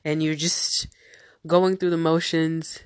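A woman's voice: low-pitched, little pitch movement, slow, no echo, clean studio sound. A woman hosting a casual solo podcast, sounding nasal from a cold and talking in a chatty, rambling way with tangents. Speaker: podcast host.

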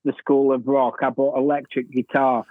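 A man's voice: mid-range voice, level tone, moderate pace, no echo. A man, an author guest on a music podcast, talking enthusiastically about a band and often repeating words as he goes. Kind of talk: casual music chat. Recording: tinny phone-like remote line.

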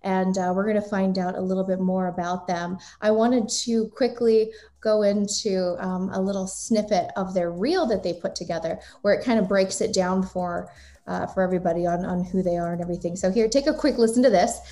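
A woman hosting a casual interview, chatty and quick to laugh, with some filler words. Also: medium pitch, fairly even intonation, dark tone. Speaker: interview host.

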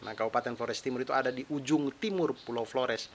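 A chatty, self-deprecating young man with a mixed eastern Indonesian accent talks alone into his podcast mic. His voice sits at an ordinary pitch, and a faint hiss runs underneath. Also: quick pace. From East Nusa Tenggara, Indonesia. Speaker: podcast host, stand-up comedian. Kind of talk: casual solo podcast monologue.

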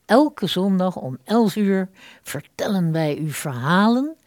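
Casting: female radio drama presenter, lowish voice, unhurried, declamatory scripted delivery. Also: big pitch swings, a little mellow, dry recording, studio quality. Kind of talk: scripted, theatrical introduction.

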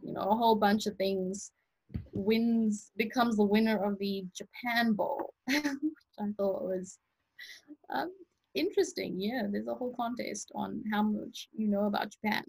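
A young woman from South Africa co-hosting a casual chat, relaxed and rambling, with many fillers and easy laughs. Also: mid-range voice, unhurried, creaky, breathy edge, poor audio. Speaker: podcast co-host.

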